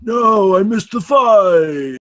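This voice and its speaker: Cocky party-bro security guard, read by a man, mid-pitched with lively swings, table read. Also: slow pace, full character voice. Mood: loud, riled. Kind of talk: live screenplay table read.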